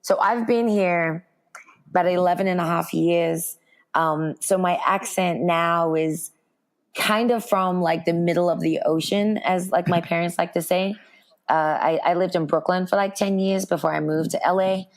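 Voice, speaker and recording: A hoarse woman in her thirties with a raspy, softened Australian accent, chatting as an interview guest with frequent ums. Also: fairly low pitch, steady tone, moderate pace. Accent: Australian, softened by years in the US. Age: 30s. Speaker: guest, fitness speaker. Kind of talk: casual interview chat.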